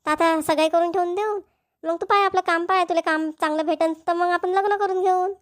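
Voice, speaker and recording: A woman's voice: very high voice, steady tone, a little fast. A woman voicing a chatty, persuasive middle-aged mother in a cartoon family comedy, with a Varhadi Marathi accent. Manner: coaxing, repetitive.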